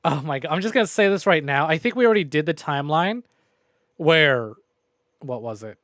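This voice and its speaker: A man's voice: on the high side, expressive, fairly quick, a bit bright, dry recording, studio quality. A man livestreaming a game in a casual, chatty, informal way, talking with his viewers. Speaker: streamer hosting a visual novel playthrough. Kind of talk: casual livestream commentary mixed with reading game dialogue aloud.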